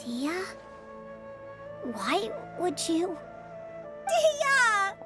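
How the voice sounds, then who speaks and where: A woman voicing a small, childlike fairy companion in a video game, squeaky, excitable and whiny, exclaiming and questioning indignantly. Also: very slow, heavily acted. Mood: indignant, confused. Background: music.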